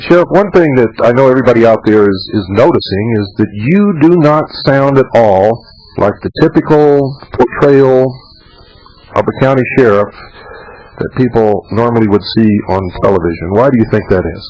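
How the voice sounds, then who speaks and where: Guy hosting a radio show, slow and dramatic, leans hard on words. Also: medium pitch, animated, a little mellow, muffled recording. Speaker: radio host. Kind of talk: radio talk show monologue and interview.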